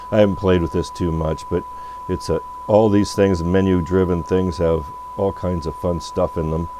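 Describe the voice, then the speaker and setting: Low-voiced man, noisy hum behind. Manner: off-the-cuff, thinking aloud. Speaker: radio repair bench presenter. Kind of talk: unscripted hands-on product demo. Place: electronics repair workbench. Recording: noisy recording with electrical hum.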